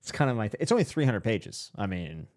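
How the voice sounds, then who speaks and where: A man's voice: lowish voice, sing-song, fast, darkish tone. A man hosting a casual livestream podcast, rambling and self-deprecating, laughing often. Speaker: podcast host.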